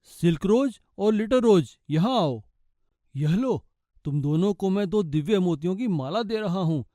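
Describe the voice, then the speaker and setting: A man's voice: high-pitched, animated, a little slow, dark tone, no echo, studio quality. A man voicing an authoritative, kindly fairy king and father in a children's cartoon. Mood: kindly, instructing. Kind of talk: children's cartoon story acting.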